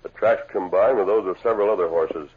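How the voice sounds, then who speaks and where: An actor playing a steady, decisive masked lawman hero with formal speech in a 1950s radio western. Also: low voice, fast talker, close to an everyday voice. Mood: resolute. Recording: tinny, noisy old radio broadcast.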